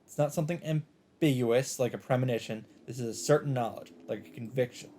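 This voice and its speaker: A man reading a visual novel script aloud in an expressive narrating style. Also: mid-range voice, a little fast, a little hiss or hum. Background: game music.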